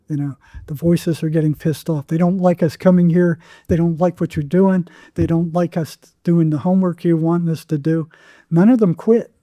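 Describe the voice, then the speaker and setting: Man with a very dark, mellow voice. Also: on the high side, dry recording, studio quality. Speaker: podcast guest, psychotherapist. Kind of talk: conversational interview storytelling.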